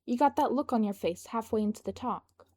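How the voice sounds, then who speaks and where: A woman's voice: on the high side, brisk, warm tone, lightly acted. A woman voicing a self-assured, teasing young male leader in an audio story. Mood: confident, friendly.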